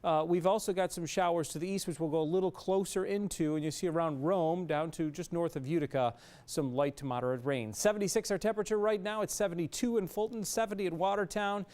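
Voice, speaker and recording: A man's voice: high voice, fairly even intonation, quick pace, a little mellow. A man with an American accent giving an off-the-cuff weather forecast as a TV meteorologist.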